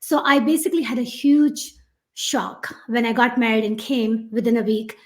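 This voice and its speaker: A middle-aged woman with a Pakistani accent, a guest on a podcast, telling her story in a rambling, animated way with many fillers. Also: a little high, moderate pace. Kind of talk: podcast interview.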